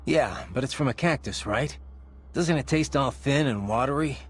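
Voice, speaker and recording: A man's voice: mid-range voice, normal speed, husky, close to an everyday voice. A man voicing an earnest, dry cyborg mercenary in an English-language video game. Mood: casual, curious. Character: young adult male cyborg mercenary, earnest, dry and indignant. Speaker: field operative.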